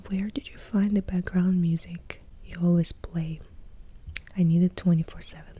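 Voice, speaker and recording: A young adult woman from Russia, speaking in a hushed, breathy half-whisper as she answers viewer questions in a soft-spoken ASMR video, very close to the mic. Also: on the low side, fairly even intonation, moderate pace, slight background noise. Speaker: ASMR creator. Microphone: close sensitive ASMR mic.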